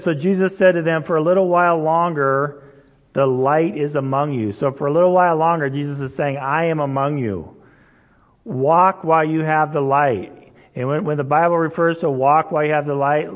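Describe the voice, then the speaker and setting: A man preaching a sermon in a relaxed, storytelling way, often checking in with the listeners. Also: fairly high pitch. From Indonesia. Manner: conversational, storytelling, frequent "right?" checks. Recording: dull sound, long hall reverb.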